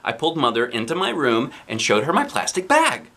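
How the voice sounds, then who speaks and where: A man reading a children's book aloud as an expressive dad, with dramatic emphasis. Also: mid-range voice, brisk. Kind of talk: animated storybook narration.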